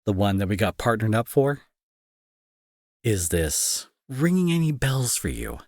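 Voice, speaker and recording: An irritable young male bully, acted by a man, speaks in a slow, dramatic delivery that swings widely in pitch. He is sarcastic and scolding with worry underneath, in a dry, close audio-drama recording. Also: big pitch swings, a little mellow, no room sound. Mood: irritated, demanding. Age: young adult. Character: irritable young male bully, gruff but secretly worried. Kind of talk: intimate roleplay audio drama acting.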